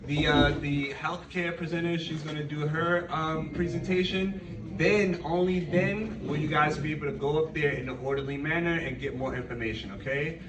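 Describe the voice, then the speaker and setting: A man's voice: a little high, steady tone, moderate pace, recorded from a distance, background noise. Hesitant man announcing in an echoey hall. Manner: hesitant, with many fillers. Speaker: senior center staff member introducing the act. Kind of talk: event announcement. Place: senior center hall.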